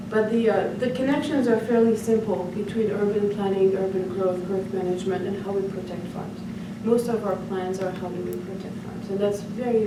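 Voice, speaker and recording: A woman from France with a French accent lectures a roomful of listeners, her voice caught from far off in a very echoey room. She circles her points with restarts and tag questions. Loud background noise sits under her words. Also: mid-range voice. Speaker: urban planning professor giving a talk. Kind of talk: public lecture. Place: senior center meeting room.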